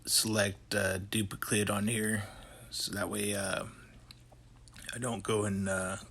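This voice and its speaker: A man in his forties talking casually to camera in a relaxed, rambling way with many fillers, his voice hoarse and going out. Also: lowish voice, slow. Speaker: vlogger. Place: indoor hobby room with shelves.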